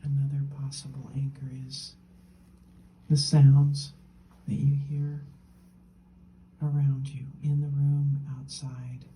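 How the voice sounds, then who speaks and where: A woman leading a guided meditation as a teacher, gently and unhurriedly, in short phrases with long pauses, her soft, breathy voice often falling toward a whisper. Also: a bass voice, completely flat delivery, a little hiss or hum, low-quality recording.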